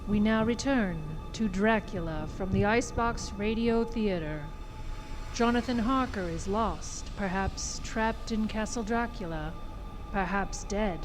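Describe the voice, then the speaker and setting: Casting: female radio drama announcer, very unhurried and solemn, scripted gothic narration with music behind. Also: medium pitch.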